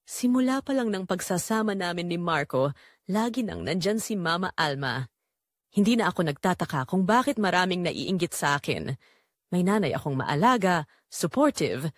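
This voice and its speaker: A woman's voice: fairly low pitch, sing-song, moderate pace, no room sound. Actress as wronged married daughter, female storyteller for audio drama. Emotive and confiding, widely swinging intonation, bone-dry recording. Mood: reflective, fond. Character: betrayed married daughter confiding a painful family secret. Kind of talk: first-person dramatic storytelling narration.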